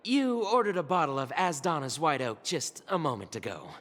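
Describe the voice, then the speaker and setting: Lilting, coy bartender, reverberant. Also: female voice, sits low, very animated, normal speed. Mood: polite, sly. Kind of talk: video game voice acting. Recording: long hall reverb under loud music.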